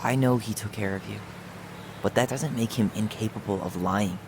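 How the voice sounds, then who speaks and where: A man's voice: on the low side, normal speed, noisy background, close to an everyday voice. An actor playing a skeptical young American man in a video game, speaking in a casual, wry, blunt way. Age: young adult. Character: skeptical, sardonic young man confronting old family memories. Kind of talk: naturalistic video game dialogue.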